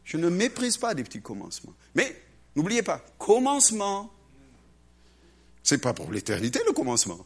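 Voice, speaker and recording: A man preaching a lively sermon to a congregation, acting out little voices and swinging between loud and hushed delivery. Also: high voice, husky. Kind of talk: animated sermon.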